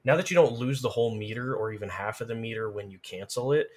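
A man's voice: medium pitch, quick pace, crowd noise. A man chatting casually as a guest, explaining video game play in detailed, analytical fashion. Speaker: podcast guest, gamer.

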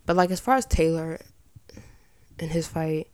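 A young woman with a slightly raspy voice and some vocal fry at sentence ends, chatting casually and giving her opinions as a podcast host. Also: deep voice, normal speed, a little mellow, dry recording. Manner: informal, rambling, opinionated. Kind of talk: casual chatty solo commentary.

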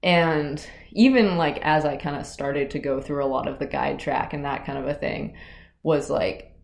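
A young woman with a very low, gravelly voice talks softly and loosely, filling her sentences with little hedges. The room around her rings with a noticeable echo. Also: normal speed. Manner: quiet, hedging, many fillers. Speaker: climbing guide. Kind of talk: casual interview chat. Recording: roomy echoey recording.

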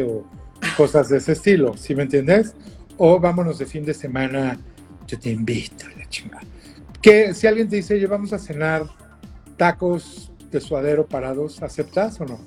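A man's voice: on the high side, darkish tone. A man with a Mexican accent hosting a casual chat show, teasing and joking with slang. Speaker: host.

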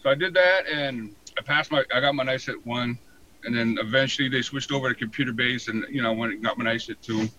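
An American man in his forties, telling his story casually in an interview with a halting, colloquial delivery full of "you know". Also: mid-range voice, bright, forceful tone, lo-fi sound, slight background noise. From San Diego, born in Hawaii. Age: 40s. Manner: halting, colloquial, frequent 'you know'. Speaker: podcast guest, fire protection inspector.

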